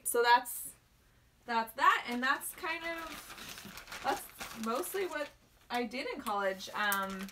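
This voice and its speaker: A woman's voice: medium pitch, sharp tone, slight background noise. Chatty Canadian woman from Alberta. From northern Alberta, Canada. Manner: chatty, rambling, answers viewer questions, laughs often. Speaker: maker hosting a livestream. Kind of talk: casual livestream chat.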